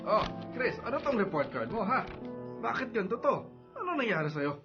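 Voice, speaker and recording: A man's voice: high-pitched, big pitch swings, fairly quick, highs cut off, music in the background. A man with a Filipino accent playing a stern, demanding middle-aged father in a radio drama, nagging with heavy emphasis. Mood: stern, exasperated.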